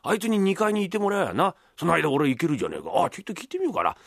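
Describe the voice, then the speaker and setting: A man performing rakugo as a spoiled, scheming young merchant's son who grumbles and wheedles in a rough Tokyo accent. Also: high-pitched, wildly swooping pitch, racing, no echo, studio quality, heavily acted. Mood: wheedling, scheming. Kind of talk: rakugo storytelling.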